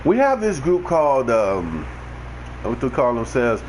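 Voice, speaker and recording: A man's voice: mid-range voice, wildly swooping pitch, unhurried, heavy background noise. A man giving a blunt, ranting, preachy monologue to his livestream viewers over a headset mic. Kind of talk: unscripted monologue.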